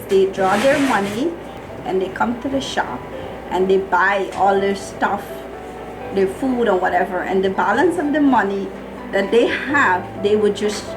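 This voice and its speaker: A woman's voice: medium pitch, low-quality recording. A middle-aged woman with a Guyanese accent tells her own story in plain-spoken, colloquial interview answers. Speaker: small business owner being interviewed. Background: music.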